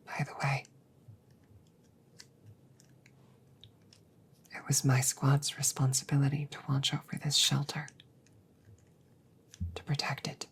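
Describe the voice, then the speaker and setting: A woman playing a wounded, stoic android soldier in a whispered ASMR audio drama, speaking formally and haltingly in a hushed, breathy voice. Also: deep voice, full character voice. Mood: subdued, sorrowful. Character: wounded female android soldier, polite, stoic, quietly grieving.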